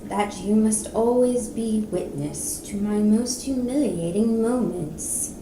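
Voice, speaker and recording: A woman's voice: medium pitch, slow, distant mic, noisy background, close to an everyday voice. A woman reading a fantasy novel aloud as a proud, ashamed young man, in a subdued, stiff manner. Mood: dark, humiliated. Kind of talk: audiobook-style novel reading. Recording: roomy echo, music behind.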